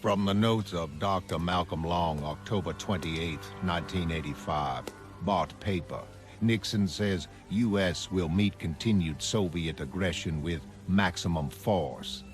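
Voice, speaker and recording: A man playing a troubled, weary psychiatrist who narrates his private notes in a motion comic, measured and brooding. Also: low voice, faint music behind. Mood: somber, weary. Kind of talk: scripted diary narration in an animated motion comic.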